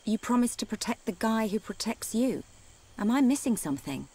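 An actress playing a steadfast, caring young woman soldier with a British accent, speaking in an earnest, measured way in a video game. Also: fairly high pitch, very animated, mellow. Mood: reproving, gentle. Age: young adult. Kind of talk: video game cutscene acting. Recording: game music behind the voice. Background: game soundtrack music.

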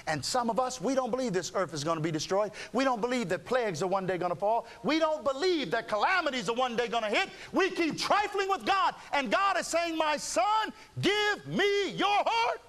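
A man preaching an impassioned sermon, pleading with long drawn-out phrases. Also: high-pitched, a bit bright.

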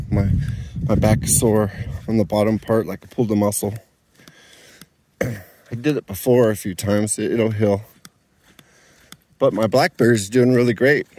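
A man's voice: fairly low pitch, a little mellow. A man giving a casual tour of his dry desert garden, rambling and thinking aloud while filming on his phone outdoors. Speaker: home gardener.

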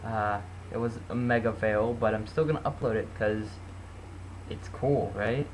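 A man's voice: lowish voice, a little slow, slight background noise, low-quality recording. Teenage boy, casual, self-mocking, small bedroom, webcam mic. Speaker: vlogger.

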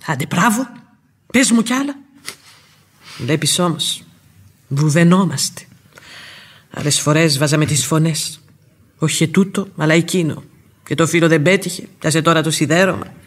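An actress playing a tough, fiercely loyal old nursemaid in a radio play, earthy, outspoken and emphatic, with a husky voice. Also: female voice, sits low, pauses often. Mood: feisty. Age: older.

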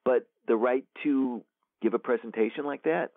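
A male author as interview guest, talking in a rambling, animated way with many restarts over a phone line. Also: medium pitch, moderate pace, no echo. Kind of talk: casual interview conversation. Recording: tinny phone line, dry.

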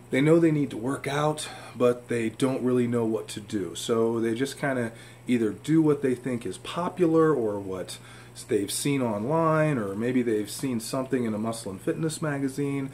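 A man's voice: medium pitch, a little slow, darkish tone. A man giving informal, emphatic fitness advice to camera in a home room. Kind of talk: informal instructional talk to camera. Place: indoor home room with fish tanks. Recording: clear room hum and background noise.

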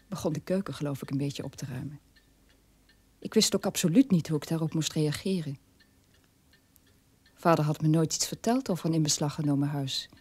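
A woman's voice: sits low, darkish tone, breathy edge, no room sound. An actress playing a troubled middle-aged mother telling her family's story in a radio play monologue. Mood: subdued, shaken.

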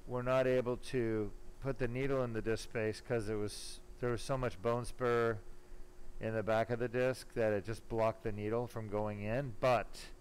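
A man's voice: mid-range voice, level tone, normal speed. Male surgeon talking over operating room noise. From born in Germany, later lived in California. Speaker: spine surgeon operating and explaining. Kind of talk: live surgery commentary, casual explanation. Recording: clearly noisy operating room sound. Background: room noise and ambience.

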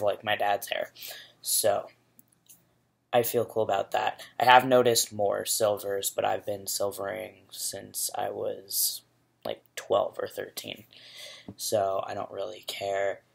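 Gravelly young man on a webcam. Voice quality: rumbly, gravelly. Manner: relaxed, rambling, candid. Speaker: vlogger. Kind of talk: casual video diary. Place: small bedroom indoors. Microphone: laptop webcam.

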